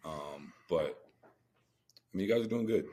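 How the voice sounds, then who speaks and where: A man's voice: low-pitched, lively intonation, slow pace, mellow, a little hiss or hum. A man co-hosting a podcast, chatting casually about sports in slangy speech with emphatic repetition.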